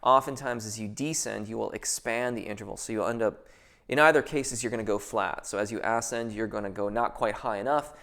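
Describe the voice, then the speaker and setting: A man's voice: lowish voice, a little fast, fairly bright tone. A man answering viewer questions as a vocal coach in a casual live Q&A, thoughtful and hesitant, with many fillers and restarts before careful technical explanations.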